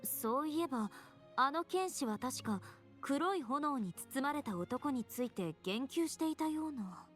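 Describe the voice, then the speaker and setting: A woman's voice: sits high, animated, fairly quick. A woman voicing a composed, thoughtful young female companion in a video game. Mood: pensive. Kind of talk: Japanese video game voice acting. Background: game music.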